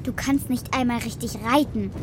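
A woman's voice: a little high, fairly even intonation, moderate pace, background noise, natural voice. A woman acting a sulky, reluctant schoolgirl in a German children's radio drama, pleading and pointed. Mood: challenging.

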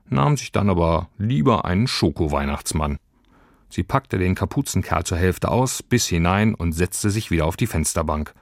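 A man's voice: low voice, dry recording. A man reading a children's story as a scripted narrator in a studio.

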